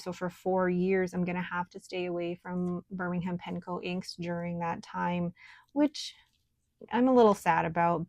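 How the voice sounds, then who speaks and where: A woman with a scratchy, hoarse voice, chatting casually as a stationery vlogger while she writes and paints. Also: lowish voice, dry recording. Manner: chatty, relaxed, thinking aloud while working. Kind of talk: casual show-and-tell while writing and painting.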